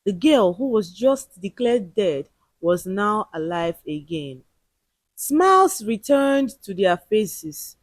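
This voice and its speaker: A woman's voice: mid-range voice, sing-song, takes it slowly, dry recording. A woman narrating a scripted story in a dramatic, suspenseful and emotive way.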